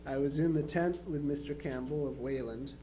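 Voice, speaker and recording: A man's voice: fairly high pitch, fairly even intonation, moderate pace, mellow, natural voice. A man playing a dutiful young Union soldier, reading his letters home in an understated, matter-of-fact way for a dramatization. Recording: faintly noisy live recording with hum.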